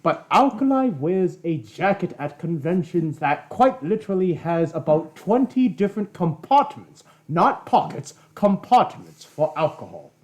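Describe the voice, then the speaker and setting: A man's voice: sits high, big pitch swings, a little slow, hardly any pauses, a little mellow. A man playing a sassy, cheeky celestial dragon who co-hosts a livestream with improvised, playfully indignant comic banter. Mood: wry, cheeky. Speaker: livestream co-host.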